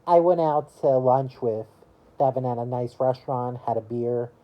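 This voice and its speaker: A woman in her early twenties chatting casually to camera about her year, rambling and halting, with lots of "you know" fillers. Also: a bass voice, steady tone, muffled recording. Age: early 20s. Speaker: vlogger.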